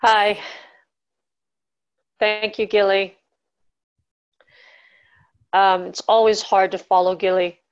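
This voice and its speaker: A woman's voice: medium pitch, little pitch movement, clean tone, muffled recording. A woman in her fifties from Barbados, telling her life story to an audience in a conversational way with many ums. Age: 50s. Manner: conversational, frequent ums, unhurried. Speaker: speaker at a talk. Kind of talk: personal storytelling talk.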